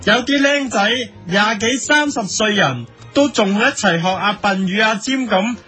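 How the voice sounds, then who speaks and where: A man's voice: high-pitched, lively intonation, unhurried, pushed and bright, slightly rough. A man with a Hong Kong Cantonese accent giving mock-serious editorial commentary as a host, in a theatrical, mock-earnest manner. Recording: faint music bed under voice. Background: music.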